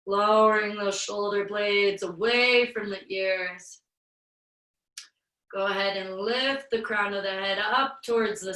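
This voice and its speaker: A woman yoga instructor with a slight head cold, guiding a virtual class through breathing in a slow, soothing way. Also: mid-range voice, sharp tone, roomy sound, recorded from a distance, low-quality recording.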